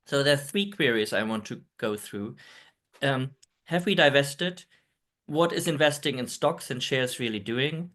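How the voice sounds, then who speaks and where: A man's voice: medium pitch, animated, a little slow, fairly bright tone. Man from Germany, hesitant earnest presenter on a video call. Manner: hesitant, many ums, earnest.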